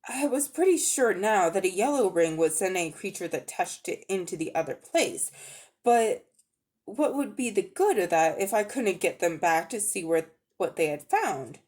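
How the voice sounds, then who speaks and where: A woman's voice: medium pitch, expressive, normal speed, a little mellow, close to an everyday voice. A woman voicing a vain, pompous old uncle who dabbles in magic, in a storybook reading. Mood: impatient. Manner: pompous, self-important, easily affronted.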